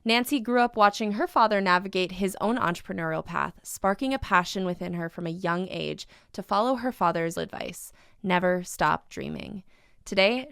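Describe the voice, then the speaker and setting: A woman hosting a podcast, reading a scripted introduction in a measured way. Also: mid-range voice, a bit bright, smooth, dry recording, clean studio sound. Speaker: podcast host. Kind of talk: scripted narration.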